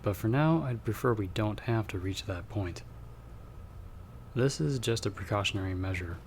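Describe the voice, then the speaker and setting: A man playing a calm, guarded magic-wielding investigator in an ASMR audio roleplay, speaking in a measured, patient, instructive way with pauses. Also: fairly low pitch, slight background noise. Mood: patient, explaining.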